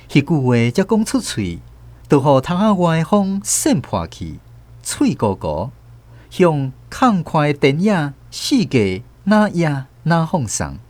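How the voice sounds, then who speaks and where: 一位台灣口音的男演員，在文學廣播劇中以第一人稱扮演回憶童年的敘事者，富有表情地說故事。. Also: male voice, fairly high pitch, a little mellow, no echo. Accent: Taiwanese. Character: grown narrator recalling a childhood train ride with mother. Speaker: narrator. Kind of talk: literary radio drama narration in first person.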